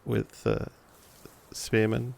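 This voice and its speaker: Unhurried, hesitant man playing a tabletop game. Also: on the low side, level tone, creaky, a little mellow. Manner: hesitant, piecing sentences together. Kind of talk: casual in-game narration and chat.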